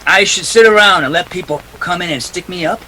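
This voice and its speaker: A man's voice: sits high, fairly quick, bright, forceful tone, slight background noise, rough recording. A man acting a wisecracking, cool private detective in a 1940s radio play, clipped and wry. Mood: wry, unruffled.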